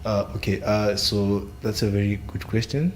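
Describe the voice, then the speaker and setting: A man from Zimbabwe, a software engineering student speaking on a panel in a measured way, searching for words with many fillers. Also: lowish voice, fairly even intonation, a little hiss or hum. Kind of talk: panel discussion.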